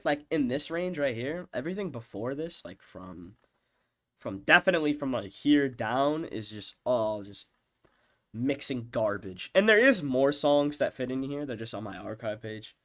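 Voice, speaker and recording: Fields: congested young man, nasal voice. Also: medium pitch. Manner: rambling, profane, many fillers, trails off quietly. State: congested, sick with stuffed-up nose. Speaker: music producer ranking his own songs. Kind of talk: rambling casual screen-share commentary.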